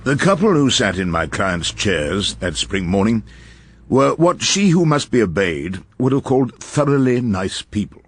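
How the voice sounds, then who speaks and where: An actor playing an irascible, witty older English barrister who narrates his case in a radio drama, wry and measured. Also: lowish voice, sing-song. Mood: wry narration. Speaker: barrister and first-person narrator.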